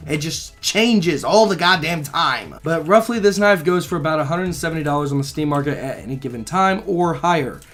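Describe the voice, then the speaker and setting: A slightly hoarse young man rambling through casual gameplay commentary, swearing freely, his mic held close to his mouth. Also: a little high, expressive, moderate pace, hardly any pauses, fairly bright tone, faint music behind. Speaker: gaming channel host.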